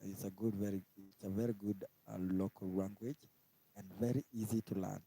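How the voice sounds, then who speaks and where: A man from Kenya with a Kenyan accent, giving a casual language lesson and slowly repeating each word. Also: lowish voice, flat delivery, gravelly, mellow. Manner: slow, repetitive, spells out each word twice. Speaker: language teacher. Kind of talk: informal live-stream language lesson.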